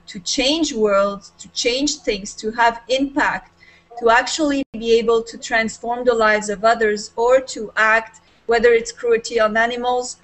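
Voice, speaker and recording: A woman's voice: fairly high pitch, fairly bright tone, low-quality recording. A woman in her forties with a Serbian accent, talking earnestly and enthusiastically as a panelist on a video call from a small room at home. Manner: enthusiastic, gestures with both hands. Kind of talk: earnest spontaneous talk. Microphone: webcam on a video call.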